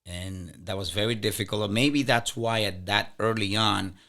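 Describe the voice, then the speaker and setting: A man's voice: on the low side, fairly even intonation, normal speed, pushed and bright. A man in his fifties from the Dominican Republic, a native Spanish speaker, talking earnestly as a guest on a podcast. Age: 50s. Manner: earnest, stammers on restarts, laughs often. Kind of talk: casual interview chat.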